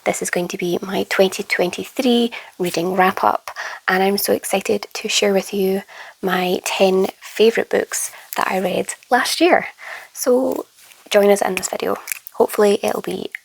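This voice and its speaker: A young woman chatting to camera about her favourite books, her voice hoarse and croaky from a flu, enthusiastic and casual, often trailing into a breathy near-whisper. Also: medium pitch, unhurried, no echo, poor audio. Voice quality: hoarse, gravelly. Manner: chatty and enthusiastic, drifting into soft whispery stretches. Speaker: book vlogger. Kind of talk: casual reading wrap-up to camera.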